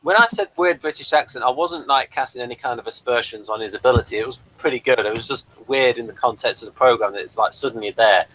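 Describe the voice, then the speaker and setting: A man's voice: mid-range voice, quick pace, hardly any pauses, a bit bright, a little hiss or hum, poor audio. A man hosting a casual pop-culture review, rambling keenly through small details. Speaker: review show host.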